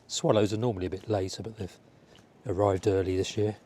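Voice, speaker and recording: A man with a slightly croaky voice from a cold, chatting casually and musing aloud as he walks along outdoors. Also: fairly low pitch, steady tone, brisk, mellow. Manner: rambling, musing aloud to the viewer. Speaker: vlogger. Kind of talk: casual outdoor walking vlog chat. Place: outdoors, walking by a village road and seafront. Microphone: small wireless clip-on mic.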